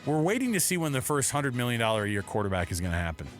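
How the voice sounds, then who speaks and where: An American man co-hosting a sports talk show, casual and slangy, joking and excitable as he gives his commentary. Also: medium pitch, racing, soft background music. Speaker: sports radio co-host. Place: radio studio.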